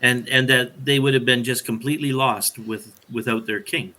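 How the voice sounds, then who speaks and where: A man with a medium-pitched, bright and forceful voice explains scripture in a casual podcast chat. He speaks haltingly, often doubling back on his phrases as he goes. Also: fairly even intonation.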